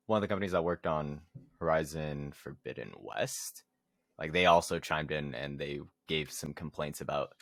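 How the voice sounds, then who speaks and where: A man's voice: rumbling low, sharp tone, no echo. A man co-hosting a podcast, talking casually in an informal, slangy way. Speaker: podcast co-host. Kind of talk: casual gaming podcast chat.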